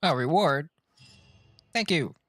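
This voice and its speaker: A man's voice: on the high side, expressive, takes it slowly, fairly bright tone, dry recording, clean studio sound, soft background music. A man giving casual, playful live stream commentary while he plays a video game, offhand and reacting aloud.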